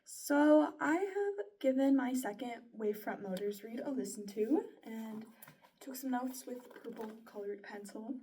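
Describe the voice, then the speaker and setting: Young woman reflecting, mellow and distant. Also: on the high side, slight background noise. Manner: chatty, earnest, lots of likes and sos. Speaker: broadcasting student. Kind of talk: casual spoken self-reflection.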